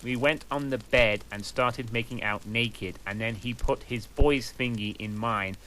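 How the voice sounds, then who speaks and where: A man reads a story aloud in a slow, deadpan manner, giving each line little emphasis. His voice is bright and forward, and the pace is unhurried. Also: mid-range voice, slight background noise, rough recording. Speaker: reader. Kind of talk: comic dramatic reading.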